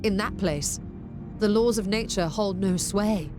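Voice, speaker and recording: A woman's voice: mid-range voice, measured pace, natural voice. A woman playing a composed, scholarly video game character who explains things patiently in formal, precise language. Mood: composed, explanatory. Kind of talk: scripted expository game dialogue. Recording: music behind the voice.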